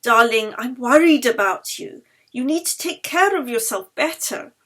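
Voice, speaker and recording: A woman's voice: fairly high pitch, lively intonation, normal speed, sharp tone, pitched above the performer's own voice. A woman voicing an anxious, polite foreign violinist with a foreign accent, in an audiobook. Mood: worried, caring.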